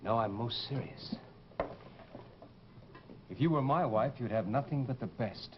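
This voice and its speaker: An actor playing a courtly, insistent suitor with a hidden vengeful streak in an old radio horror drama. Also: male voice, medium pitch, a little slow, close to an everyday voice. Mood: wooing. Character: suave, intense English suitor hiding a vengeful nature. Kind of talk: 1940s radio horror acting. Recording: dull, noisy old radio broadcast.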